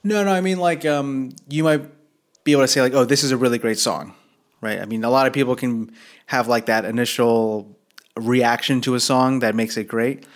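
A man's voice: medium pitch, fairly even intonation, moderate pace. A man interviewing a singer in a casual chat, hesitant and rambling with many fillers.

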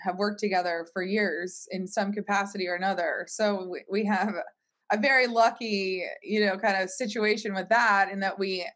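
A woman's voice: mid-range voice, fairly even intonation, normal speed, a bit bright. A woman answering interview questions about her work in a casual, informal, explanatory way, as a team leader would. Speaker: tech company team leader, interview guest.

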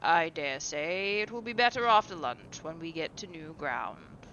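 A woman voicing a hot-tempered aristocratic gentleman on a hunt, speaking loudly and brusquely. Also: deep voice, lively intonation, a little slow, muffled recording, noisy background. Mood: offhand. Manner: loud, brusque and irritable. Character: blustering aristocratic male sportsman, hot-tempered.

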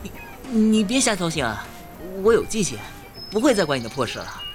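Man as an expressive, grumbling high school boy. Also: brisk, music in the background. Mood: exasperated. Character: exasperated, wary male high school student. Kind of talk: Chinese audio drama acting with first-person narration.

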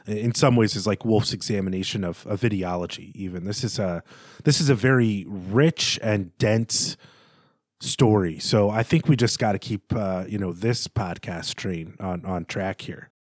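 A man co-hosting a book podcast, analysing fiction in a thoughtful, measured way as he searches for his words. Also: lowish voice, dry recording, studio quality. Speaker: podcast co-host. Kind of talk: literary analysis in conversation.

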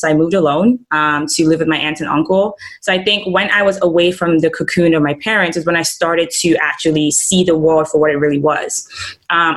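A young woman from Nigeria, a guest in a casual interview, talking reflectively with many fillers. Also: low-pitched.

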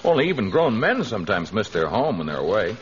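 A resolute, protective jungle hero with a very lively, varied delivery. It is old radio serial acting on a dull, slightly noisy recording. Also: male voice, on the low side, fast talker. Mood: kindly, amused. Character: heroic jungle man, kindly, protective, resolute.

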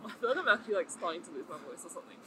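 Young woman, bright lively voice, giggly slangy podcast chat, faint hiss. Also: fairly low pitch, rapid-fire, low-quality recording. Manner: giggly, laughs often, loose slangy talk. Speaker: podcast co-host. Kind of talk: casual chat.

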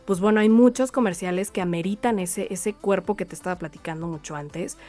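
A woman's voice: medium pitch, brisk, warm tone, faint music behind. A woman with a Mexican accent hosting a podcast, chatting casually in an effusive, encouraging way. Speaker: podcast host. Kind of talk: casual chat, interviewing.

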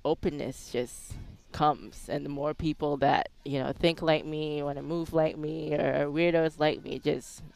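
A woman's voice: low voice, fairly even intonation, measured pace, creaky, a little mellow. A woman from Singapore talking as an interview guest, candid and introspective in casual conversation, often dropping in "like" and "you know".

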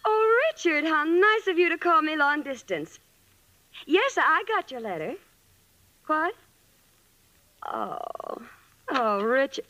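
A woman's voice: high-pitched, very animated, slow, long pauses, sharp tone, heavily acted. A woman acting an exasperated, sensible young roommate in a vintage radio comedy, with wry, emphatic delivery. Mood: flustered.

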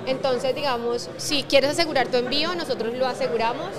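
A woman's voice: sits high, a little fast, over music, a crowd in the background. A woman in her thirties with a Colombian accent, a startup founder talking casually in an interview and sprinkling in English business terms. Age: 30s.

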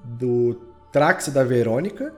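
A man's voice: mid-range voice, expressive, unhurried, a little mellow. A man with a Brazilian accent speaking casually and informally, with plenty of slang, as a podcast guest. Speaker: podcast creator being interviewed. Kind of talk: casual chat. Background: music.

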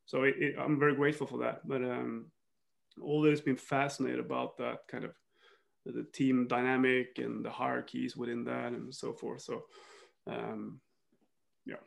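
Man, interview guest; gravelly, measured, searching for words. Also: mid-range voice, flat delivery. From northern Sweden. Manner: thoughtful, many restarts and fillers, laughs often. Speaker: guest, football coach. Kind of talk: reflective interview talk.

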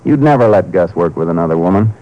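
An actor playing a calm, firm Texas Ranger in a 1950s radio crime drama, terse and dryly persistent. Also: male voice, low voice. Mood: confident, pressing. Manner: terse, unhurried questioning, dry. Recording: muffled, hissy 1950s radio recording.

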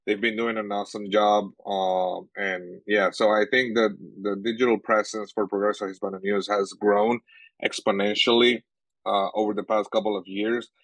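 Venezuelan guy, young adult, native Spanish speaker, low-ish voice, dry close mic, halting and filler-heavy. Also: little pitch movement, a bit bright, dry recording, poor audio. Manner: halting, many fillers and restarts. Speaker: sports journalist guest. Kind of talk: casual interview answers.

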